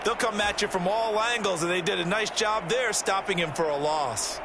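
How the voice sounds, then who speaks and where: A man's voice: high voice, moderate pace, noisy background. A man with an American accent giving emphatic, explanatory color analysis in football commentary. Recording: loud music and game sound behind.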